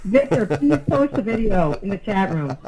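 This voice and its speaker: A woman's voice: medium pitch, very animated, moderate pace, warm tone. A woman, lead singer of a band, talking as a guest in a casual radio interview, upbeat and chatty with many fillers.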